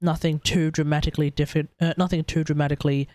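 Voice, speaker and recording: A man's voice: a little high, level tone, fast, a little mellow, no echo. A man with an Australian accent hosting a game livestream in casual, rambling, hesitant chat. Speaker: livestream host, gamer.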